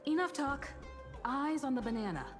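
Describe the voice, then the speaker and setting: A woman voicing a brisk, focused female companion in an anime-style video game, businesslike and urging. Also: sits high, lively intonation, soft background music.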